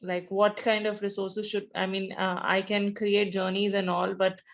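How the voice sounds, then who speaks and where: Flat, gravelly woman asking on a call. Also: mid-range voice, highs cut off. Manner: halting, searching for words, "like" and "you know". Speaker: app founder asking a question. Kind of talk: webinar audience question. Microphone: video call.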